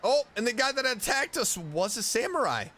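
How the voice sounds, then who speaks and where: A man casually reacting to a video game as a streamer, expressive and enthusiastic. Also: very high-pitched, fairly quick, heavy background noise. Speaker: gameplay streamer.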